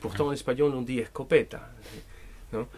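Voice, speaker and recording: A man whose first language is Spanish, an author talking at a bookshop event, thoughtful and deliberate, often restarting his words. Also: mid-range voice, fairly even intonation, a little mellow, slight background noise. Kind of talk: bookshop talk, reading and answering.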